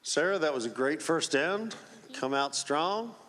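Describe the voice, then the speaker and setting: A man's voice: fairly high pitch, expressive, measured pace, a bit bright, a little hiss or hum. A man announcing results and chatting with players on stage at a live event, upbeat and joking. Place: large indoor arena. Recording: echoey hall sound over PA.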